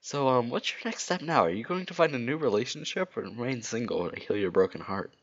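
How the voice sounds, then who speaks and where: A man's voice: medium pitch, animated, brisk, highs cut off, pitched above the performer's own voice, lightly acted. A man voicing a young cartoon hedgehog, kindly and earnest but easily startled, with exclamatory delivery. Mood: sympathetic.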